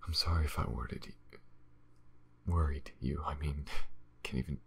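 A man playing a weary, shaken vampire in an ASMR audio roleplay, confiding in halting, haunted phrases with a breathy, husky whisper. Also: rumbling low, heavily acted. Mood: exhausted, apologetic. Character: weary, shaken, starving male vampire survivor.

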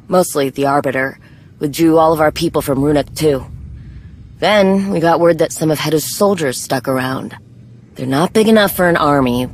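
A woman playing a tough, guarded young warrior in a video game, speaking bluntly and drily. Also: deep voice. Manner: blunt, dry, no-nonsense. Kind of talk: video game cutscene acting.

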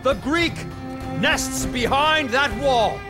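A proud ancient warrior champion in a video game, declaiming to rouse men. His voice is pitched very high and he spaces his words slowly, with music behind. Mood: rousing. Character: proud, rousing Trojan warrior champion, grown man. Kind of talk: dramatic video game acting.

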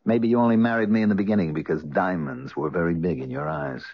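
An actor playing a sardonic betrayed husband with wry, hard-boiled delivery in a 1970s radio drama. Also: male voice, deep voice, fast talker, dull audio. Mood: coldly accusing. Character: bitter, sardonic betrayed husband turning the tables. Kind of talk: 1970s radio drama acting and first-person narration.

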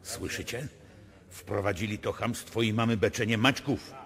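An actor playing a scheming aristocratic opposition plotter, haughty and insistent, in a radio drama. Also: male voice, lowish voice, fairly even intonation, moderate pace, a bit bright. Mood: scornful. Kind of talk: theatrical radio drama acting. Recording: crowd noise behind.

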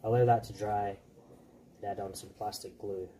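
A man's voice: fairly low pitch, normal speed, a little hiss or hum. Chatty Scottish cobbler in his thirties. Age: 30s. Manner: relaxed, chatty, addresses viewers as guys. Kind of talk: casual workshop vlog. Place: small shoe repair shop, indoors.

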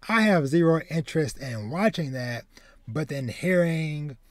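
A man in his thirties hosting a podcast in casual chat, animated and rambling, repeating words as he searches for phrasing. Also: fairly high pitch, a little slow, no room sound, clean studio sound. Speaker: podcast host. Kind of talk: casual chat, retelling a film plot. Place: home room with bookshelves, indoors.

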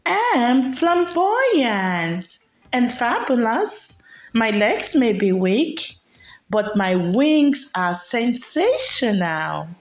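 A woman's voice: a little high, big pitch swings, very slow, roomy sound, full character voice. A woman voicing a flamboyant young female flamingo in a singsong, theatrical storybook manner. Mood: proud.

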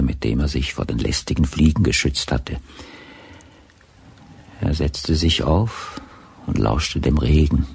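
A man with a gravelly, husky voice narrating a detective radio drama as a scripted storyteller. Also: extremely low pitch, darkish tone, heavily acted.

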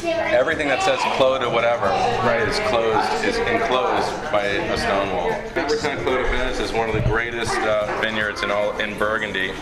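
Male wine dealer, lowish voice, informal explainer, echoey room. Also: steady tone, normal speed, over a noisy crowd. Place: roomy apartment dinner party. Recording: echoey room, loud music behind.

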